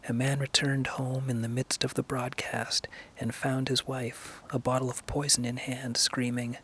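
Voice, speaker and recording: A man with a husky, gravelly voice hosting and introducing an old-time radio show, partly reading from text. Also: medium pitch, little pitch movement, moderate pace, fairly bright tone, a little hiss or hum. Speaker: channel host.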